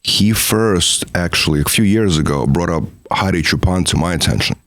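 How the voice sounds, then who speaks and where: A man's voice: sits low, normal speed, fairly bright tone, no room sound. A middle-aged man, a documentary filmmaker guest, telling stories in a talkative way full of "you know what I mean.". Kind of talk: casual interview conversation.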